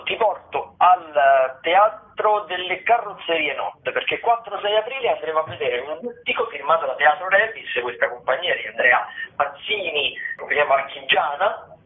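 Male critic phoning in to radio, slightly high voice, discursive and hesitant, tinny line. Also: lively intonation. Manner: hesitant, discursive, with filled pauses. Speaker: theatre critic, radio guest presenting listings. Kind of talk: conversational radio listings commentary.

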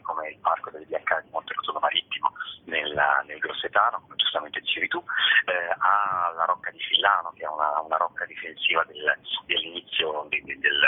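A man's voice: sits low, husky. A man speaking as a festival director in a radio phone interview, hesitant and often repeating himself as he searches for words. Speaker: festival artistic director, guest. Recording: muffled phone line on radio.

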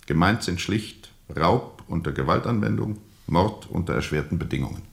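An actor playing a weary, bitterly ironic steamboat captain in a radio play, speaking deliberately and sardonically with weighty pauses. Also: male voice, low voice, expressive, gravelly. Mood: sardonic. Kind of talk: radio play acting, testimony under questioning.

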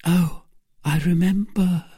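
A narrator voicing a fragile, devoted young woman in anguish, breathy and exclaiming, for a classic story. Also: mid-range voice, slow, darkish tone, dry recording, clean studio sound, voice pushed up. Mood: weak, dying.

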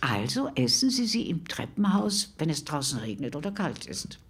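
An actress playing a chatty neighbour in a mock radio documentary, husky and rambling, with hesitant, digressive recollections. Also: female voice, deep voice, very animated, moderate pace, full character voice. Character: chatty female neighbour recalling a stench, digressive. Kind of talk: mock documentary interview, spontaneous-sounding monologue.

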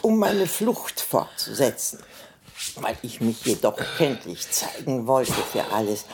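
A woman's voice: lowish voice, gravelly, a little mellow, slight background noise, full character voice. A woman playing a haughty elderly madame who rambles theatrically about her past in a radio play. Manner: rambling, theatrical, breaking off into gasps and giggles.

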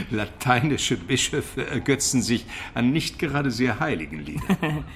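An actor playing an urbane medieval gentleman in a German radio play, measured, ironic and drily disapproving. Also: medium pitch, expressive, slight background noise. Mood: wry reproach. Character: dry, disapproving, urbane medieval gentleman hearing a friend's confession.

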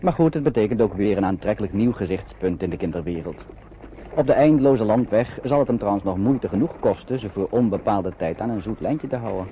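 A man's voice: on the low side, lively intonation, fairly quick. A man reading scripted narration for a radio play. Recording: dull, noisy old radio recording.

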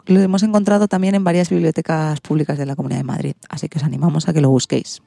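A woman with a Spain accent narrates a scripted story for children as the show's host. Her voice is low and dark, with a husky edge. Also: steady tone, racing, dry recording, studio quality.

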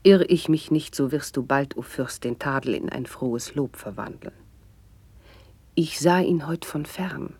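Dark, husky, eloquent noblewoman. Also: deep voice, unhurried, dry recording. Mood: reassuring. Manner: poised, elevated, poetic delivery. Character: eloquent, devoted noblewoman, the princess's learned confidante. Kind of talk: classic German radio play, declaimed stage verse.

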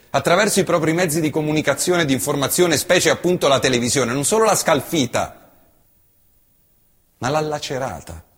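Husky-voiced man declaiming in a reverberant hall. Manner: impassioned declamation, swinging from loud outbursts to hushed low lines. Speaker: stage actor. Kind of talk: theatrical monologue.

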